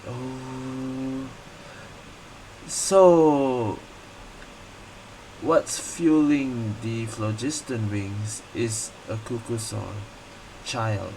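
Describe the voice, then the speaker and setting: A woman's voice: rumbling low, fairly even intonation, very slow, long pauses, dark tone, rough recording. A woman giving casual, muttered commentary as she plays a video game, with heavy noise behind her. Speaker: game streamer. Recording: heavy background noise, game audio underneath.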